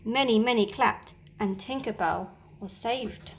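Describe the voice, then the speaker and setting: A woman teacher reading a children's storybook aloud, with expressive, dramatic storytelling. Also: a little high, unhurried.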